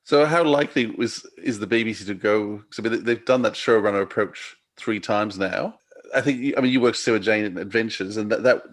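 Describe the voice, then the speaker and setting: A man's voice: on the low side, a little fast. A man working as a podcast interviewer, putting questions to a guest in a casual, conversational style.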